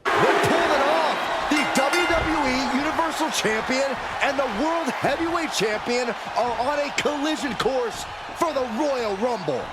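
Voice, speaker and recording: A man's voice: very high-pitched, measured pace. A man playing an enthusiastic wrestling commentator, with emphatic, upbeat sports commentary. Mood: excited. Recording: loud arena noise behind voice.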